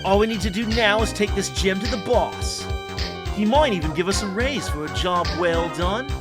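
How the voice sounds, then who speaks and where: A man's voice: high-pitched, normal speed, natural voice. Man doing a cocky surfer-dude turtle henchman, music behind. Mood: smug, relaxed. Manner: slangy surfer-dude talk. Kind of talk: fan cartoon overdub.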